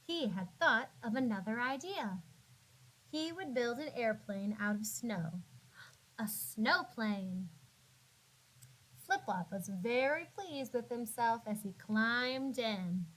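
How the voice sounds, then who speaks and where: A woman narrating a children's storybook as a teacher would, expressively, with drawn-out words and dramatic emphasis for young listeners. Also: fairly high pitch, animated, fairly bright tone, poor audio. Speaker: classroom teacher reading aloud.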